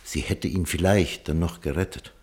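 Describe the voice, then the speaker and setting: An actor playing an authoritative doctor in a radio play, firm, measured and insistent in his delivery. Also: low voice, a little mellow. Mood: grave, recounting. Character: male doctor, urgent, authoritative, reasoning and imploring. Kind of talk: German radio play acting with first-person narration.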